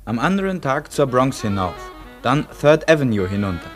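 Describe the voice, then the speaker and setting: A man's voice: medium pitch, sing-song, normal speed. A man with a mid-range voice narrates a radio feature, reading scripted linking passages. Faint music sits underneath the voice.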